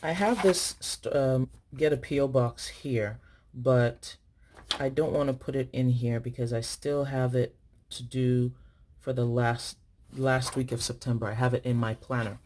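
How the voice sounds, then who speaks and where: A woman's voice: extremely low pitch, fairly even intonation, a little slow, a little mellow, slight background noise. A woman from Nigeria chatting casually to her viewers while filling in her planner, thinking aloud and correcting herself as she writes. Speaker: planner vlogger.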